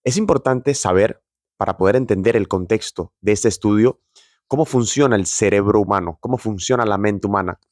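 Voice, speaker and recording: A man's voice: fairly low pitch, fairly quick, a little mellow, no echo, studio quality. A man giving an earnest, encouraging reflection straight to camera, admitting he is nervous about speaking on video. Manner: earnest and encouraging, addresses viewer directly. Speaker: motivational video host.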